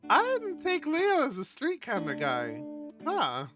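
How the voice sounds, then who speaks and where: A man streamer reading a visual novel aloud and adding chatty, animated asides. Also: very high voice, slow pace, over music.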